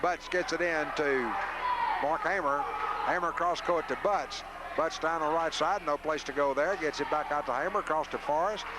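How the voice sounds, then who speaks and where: A high-voiced man gives live basketball play-by-play for local radio. His delivery is folksy and partial to the home side. Clear background noise from a gym runs under the old 1980s broadcast recording. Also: normal speed.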